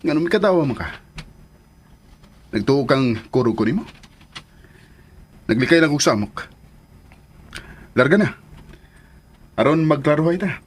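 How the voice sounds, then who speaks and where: An actor playing a modest but proud man in a Filipino radio drama, with a husky voice. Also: fairly high pitch, animated, a little slow, pauses often. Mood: defiant. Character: modest, proud man, reluctant guest avoiding trouble.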